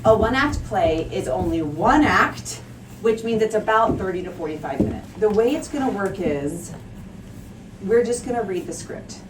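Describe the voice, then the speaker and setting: A woman's voice: medium pitch, expressive, normal speed. A woman drama teacher giving classroom instructions to children, explaining step by step in simple phrases and stressing key words. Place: indoor school classroom. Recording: roomy echo, distant mic, faint noise.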